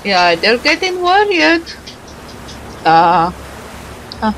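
Slow-paced woman commenting over a game. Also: fairly high pitch, sing-song, fairly bright tone, a little hiss or hum, low-quality recording. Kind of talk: casual game commentary with bits of on-screen text read out.

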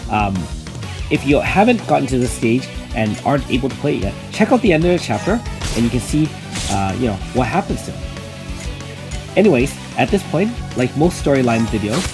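A man with a slightly raspy voice from illness, chatting casually over game footage as a YouTube host, with many fillers. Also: mid-range voice, big pitch swings, a little fast, music in the background. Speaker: gaming YouTuber.

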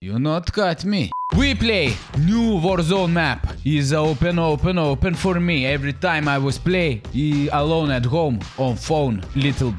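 A man in his thirties with a Lithuanian accent, streaming games in blunt, crude, deadpan broken English on a close desk microphone. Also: a little high, measured pace, hardly any pauses, soft background music. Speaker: gaming streamer. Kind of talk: comic gameplay chat. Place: small indoor streaming room.

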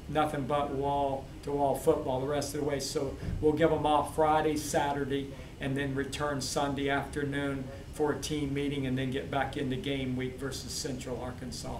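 A man who is a head football coach, answering postgame questions in a steady, measured way with many fillers. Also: mid-range voice. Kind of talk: postgame press interview. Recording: echoey, distant mic, crowd noise.

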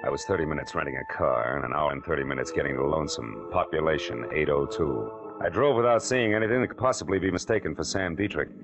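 Music sits behind a hard-boiled private eye in a vintage radio drama. His voice is deep, his delivery wry, clipped and deliberate. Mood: dry, tense narration. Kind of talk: 1940s radio detective narration.

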